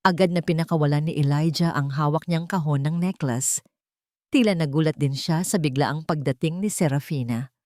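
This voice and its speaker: A woman's voice: low-pitched, normal speed, a little mellow, no echo. A woman narrates a scripted romance drama. Her voice sits a little below average for a woman. The recording is dry, with hardly any room sound. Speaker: story narrator.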